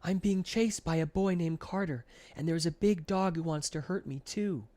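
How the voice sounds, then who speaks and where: A man playing a worried, high-pitched young boy. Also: normal speed, mellow, natural voice. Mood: frightened. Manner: anxious, insistent, protesting. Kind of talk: children's audiobook character voice.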